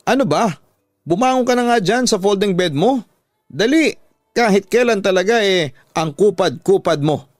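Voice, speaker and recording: A man's voice: sits high, lively intonation, normal speed, dry recording, clean studio sound, pitched above the performer's own voice. A man voicing an angry, nagging father who scolds his daughter with exasperated insults in a radio drama. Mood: impatient.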